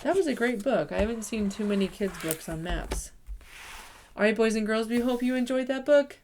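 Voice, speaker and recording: A woman reading a picture book aloud to children, expressive and lively, stressing sounds and rhythm. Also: a little high, moderate pace, slight background noise. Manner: warm, expressive storytelling with lively emphasis. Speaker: children's story reader.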